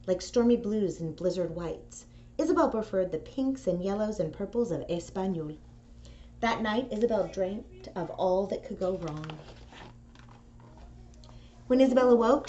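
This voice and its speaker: Female school presenter, mid-range voice, clear phrasing for families and kids, slightly lo-fi sound. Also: medium pitch, darkish tone. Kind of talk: video announcements and picture-book read-aloud. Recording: dull audio with faint noise.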